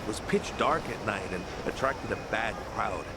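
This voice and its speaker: A man's voice: on the low side, animated, normal speed, natural voice. A man voicing a friendly, dutiful local volunteer organizer in a video game, explaining things in an easygoing way. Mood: informative. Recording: clear outdoor town noise behind.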